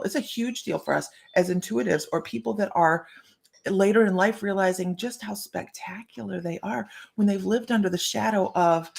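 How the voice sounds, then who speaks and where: A woman's voice: medium pitch, vocal fry. A middle-aged woman hosting a live stream as a psychic medium, chatting to viewers and greeting them by name.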